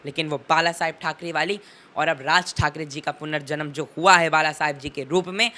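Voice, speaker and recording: A man's voice: fairly high pitch, steady tone, fairly quick, sharp tone. A teenage young man giving impassioned political commentary in an interview, emphatic and oratorical, leaning on rhetorical repetition.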